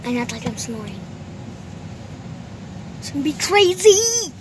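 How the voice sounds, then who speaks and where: High-voiced woman, excitable casual vlogger addressing viewers, clear car noise behind her. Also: unhurried. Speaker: vlogger filming a prank. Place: inside a car at a drive-thru. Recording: noisy in-car recording. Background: car and vehicle noise.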